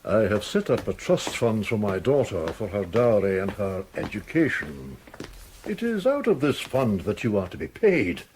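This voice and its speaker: An animated, formal Victorian father. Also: fairly low pitch, normal speed, a little hiss or hum. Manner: formal, hesitant. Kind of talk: period audio drama acting.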